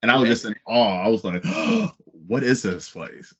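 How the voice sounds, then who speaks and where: A man's voice: mid-range voice, wildly swooping pitch, moderate pace, fairly bright tone, slightly rough, dull audio. An American man hosting a casual interview, speaking with enthusiasm and repeating phrases for emphasis. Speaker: podcast host interviewer.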